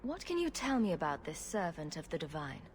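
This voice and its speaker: A woman's voice: low voice, big pitch swings, fairly quick, slight background noise. A woman playing a wry, inquisitive heroine in a fantasy video game, direct and questioning. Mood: direct, curious.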